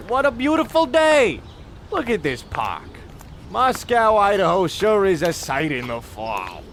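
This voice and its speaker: High, pompous, fussy gentleman. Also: slight background noise, heavily acted. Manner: pompous, exclamatory, theatrical. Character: pompous, fussy, easily annoyed well-dressed gentleman.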